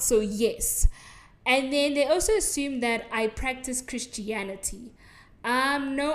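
A young South African woman with a South African accent, hosting a solo podcast in a chatty, giggly way full of likes and ums. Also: a little high. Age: young adult. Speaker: podcast host. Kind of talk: solo podcast answering listener assumptions. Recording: echoey room.

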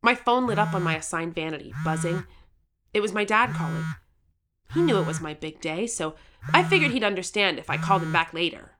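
A woman playing an excitable, cocky thirteen-year-old contestant telling their story in a scripted audio drama. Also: fairly low pitch, fairly quick, a crowd in the background, natural voice. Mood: wry narrating. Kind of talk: scripted audio drama, first-person narration with acted lines.

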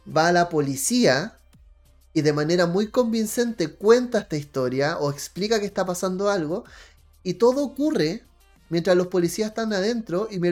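A man with a Chilean accent talking casually as a panelist on a talk show, telling anecdotes. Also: high voice. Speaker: panelist on a tabletop role-playing talk show.

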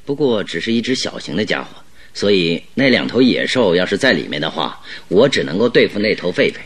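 一位男演员在广播剧中饰演自信、善于追问的侦探，说话从容推敲，带有戏剧腔。. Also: male voice, sits low, very animated, breathy edge, full character voice. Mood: cautious. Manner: inquisitive, deliberate, theatrical. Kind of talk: Chinese radio drama acting.

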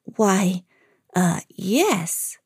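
A woman's voice: sits high, wildly swooping pitch, moderate pace, creaky, dry recording, studio quality, voice pushed up, exaggerated voice. A woman voicing a kind, polite storybook girl with an earnest, courteous manner. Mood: uneasy.

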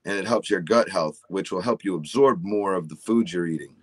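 A man's voice: deep voice, brisk, dry recording, poor audio. A man in his forties explaining health ideas in a casual chat, often checking in with "right?". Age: 40s. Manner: explanatory, frequent "right?" tags. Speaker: supplement company guest.

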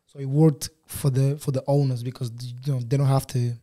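Guest voice: young man, first language Portuguese, mellow timbre, loose and rambling storytelling. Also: mid-range voice, a little fast. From Angola, raised in Portugal. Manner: relaxed, rambling, many fillers and restarts. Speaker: guest photographer. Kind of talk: casual interview chat.

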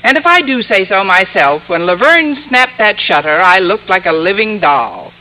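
An actress playing a sardonic, quick-witted woman English teacher in an old radio sitcom, with dry comic timing. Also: medium pitch, sing-song, sharp tone, poor audio. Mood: wry narrating. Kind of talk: 1940s-50s radio sitcom narration and acting. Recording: hissy vintage radio recording.

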